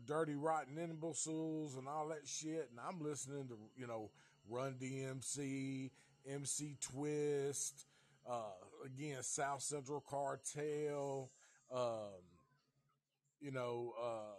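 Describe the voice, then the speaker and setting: Slow-talking laid-back man of fifty. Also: mid-range voice, a little mellow, dry recording. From Nashville, Tennessee. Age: 50s. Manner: rambling, profane, laid-back. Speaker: livestream host. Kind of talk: casual livestream chat.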